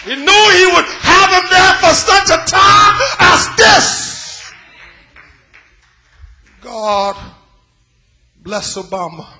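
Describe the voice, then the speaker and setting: A man from West Africa preaching an impassioned sermon, dramatic, moving between hushed gravity and loud, repeated cries. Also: very high voice, big pitch swings, drawn-out words, gravelly, pauses often, soft background music. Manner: dramatic, swinging from hushed grave passages to shouted repetition.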